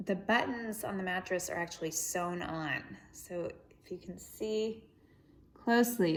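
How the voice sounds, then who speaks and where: A woman reading a children's story aloud expressively, with gentle explanatory asides for young listeners. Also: fairly low pitch. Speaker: storybook reader.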